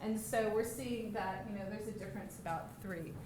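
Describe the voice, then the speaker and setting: A woman's voice: medium pitch, normal speed, background noise. A woman researcher giving an academic talk in a lecture room, reading figures off her slides with frequent fillers and self-corrections. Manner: says she has a loud voice. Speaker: researcher presenting a study.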